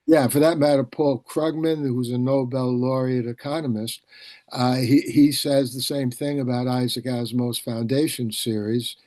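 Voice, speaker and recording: A man hosting a casual video-call discussion, explaining things in a halting, discursive way and often repeating words. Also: mid-range voice, steady tone, warm tone, dry recording. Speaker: host, publisher and professor.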